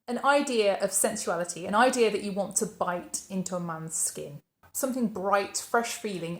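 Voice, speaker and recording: A woman's voice: mid-range voice, reverberant. A woman, hosting her channel and narrating a life story in a scripted way, posing questions and then answering them. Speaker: channel host.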